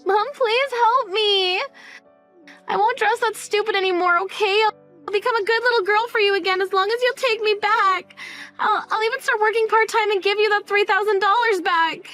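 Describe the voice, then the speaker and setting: A woman's voice: very high voice, steady tone, normal speed, sharp tone, soft background music, pitched above the performer's own voice. A woman playing a frightened teenage daughter, whiny and pleading, in a dramatized story. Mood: desperate pleading.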